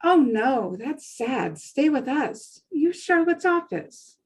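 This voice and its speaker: Over-accommodating, chirpy woman acted by a female reader; high voice, anxious to please, table-read drama. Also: very animated, slow pace, heavily acted. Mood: eagerly welcoming.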